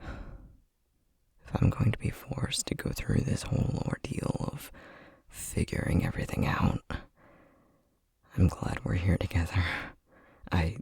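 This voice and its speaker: A man playing a flustered, tender young boyfriend in an ASMR roleplay, soft-spoken and breathy, hesitating and stammering as he talks. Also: sits low, lively intonation, takes it slowly, pauses often, no room sound, clean studio sound, exaggerated voice. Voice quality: breathy, husky. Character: flustered, tender young boyfriend newly discovering magic powers.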